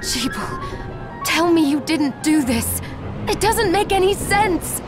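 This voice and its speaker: A woman's voice: extremely high pitch, animated, takes it slowly, over music, exaggerated voice. A woman acting an earnest, determined young fighter in a dramatic video game scene. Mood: pleading. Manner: earnest, resolute.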